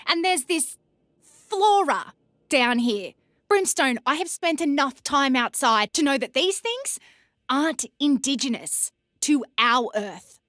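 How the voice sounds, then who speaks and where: A woman's voice: sits high, a bit bright, no room sound, clean studio sound, full character voice. An actress with an Australian accent playing a blunt, outdoorsy, no-nonsense female video game agent. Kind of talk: voicemail, video game acting.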